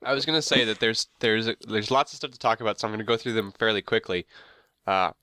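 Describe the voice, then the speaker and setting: A man's voice: on the low side, fast, pushed and bright, clear, resonant tone, no echo, clean studio sound. A man co-hosting a casual podcast, congested and nasal, chatty and prone to rambling complaints. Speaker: podcast co-host. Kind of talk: casual chat. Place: hot home room.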